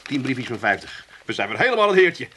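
Male actor as middle-aged Amsterdam garage keeper, brisk delivery, dry humour, wary, colloquial, comic radio play. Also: mid-range voice, very animated, background noise, close to an everyday voice. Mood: dry, cautious. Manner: wry, colloquial. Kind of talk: comic radio drama acting.

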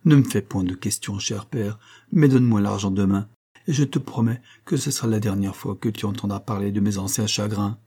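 A man's voice: fairly low pitch, brisk, dark tone, slightly rough. A man voicing a proud, passionate young married woman pleading with her father in an audiobook story. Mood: subdued, pleading. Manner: imperious and emphatic, swinging to pleading.

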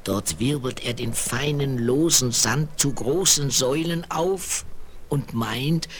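A man's voice: medium pitch, slow pace, close to an everyday voice. A man playing a self-pitying, weather-sensitive character in a children's fairy-tale audio drama, on an old vinyl record. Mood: ominous, explaining. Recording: faint noise, 1970s vinyl record.

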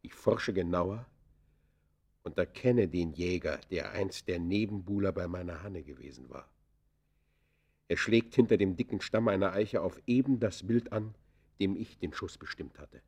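An actor playing an embittered outlaw poacher, confessing in the theatrical, literary manner of a classic German radio play. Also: male voice, low voice, measured pace, a little mellow, slightly rough, full character voice. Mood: tense, haunted.

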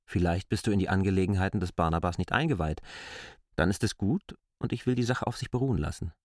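An actor playing an earnest, brooding stranger in a radio play, speaking in a deliberate, formal, argumentative way. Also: male voice, sits low, a little mellow. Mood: measured, probing. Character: earnest adult male stranger, insistent and brooding. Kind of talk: literary radio play dialogue.

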